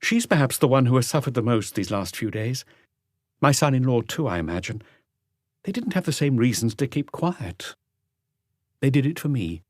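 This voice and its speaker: A man voicing an anxious, dignified middle-aged widow with a halting, earnest, stiffly polite manner in an audiobook. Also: medium pitch, lively intonation, no room sound, clean studio sound, pitched above the performer's own voice, lightly acted. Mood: guarded, wounded.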